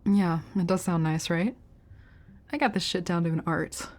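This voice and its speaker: Woman acting a teasing, caring older sister, low-toned. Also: moderate pace, natural voice. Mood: reassuring, playful.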